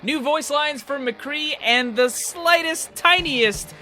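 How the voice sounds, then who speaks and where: A man's voice: very high voice, slow pace, bright, forceful tone, faint music behind. A man hosting gaming news, speaking in an emphatic, informal way straight to his viewers. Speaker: gaming news channel host. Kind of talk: upbeat scripted gaming news narration.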